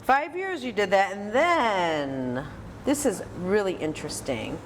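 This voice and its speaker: A woman's voice: medium pitch, animated, unhurried, slight background noise. An American woman hosting a conversational interview in a chatty, encouraging, affirming manner. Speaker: interview host.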